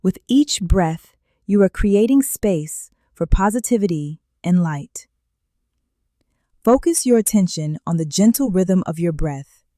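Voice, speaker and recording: Calm lady doing guided meditation, lowish mellow voice, gentle, lots of space between phrases. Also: dry recording, studio quality. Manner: calm, gentle, unhurried phrasing with long pauses. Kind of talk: guided meditation, slow soothing instruction.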